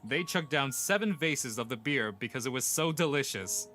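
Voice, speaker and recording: Male actor as proud king, imperious, pompous, lively intonation, bright tone, comic dub, faint music behind. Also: mid-range voice, normal speed. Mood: amused storytelling. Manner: pompous, lofty, declamatory. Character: proud, boastful young king of ancient times, imperious but amused. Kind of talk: scripted comic dub acting.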